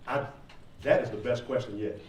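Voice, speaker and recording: A man's voice: medium pitch, moderate pace, darkish tone. Male rapper, thirties, conversational and emphatic audience Q&A, roomy distant sound with faint noise. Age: 30s. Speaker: rapper and stage performer answering audience questions. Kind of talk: informal question-and-answer talk to students. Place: roomy indoor campus event space. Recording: echoey room, distant mic, faint noise.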